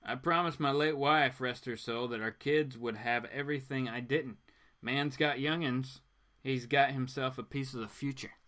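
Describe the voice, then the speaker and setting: A man's voice: mid-range voice, fairly even intonation, moderate pace, sharp tone, close to an everyday voice. A man voicing a plain-spoken family man from a comic book, with rustic, folksy phrasing. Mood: folksy, wistful.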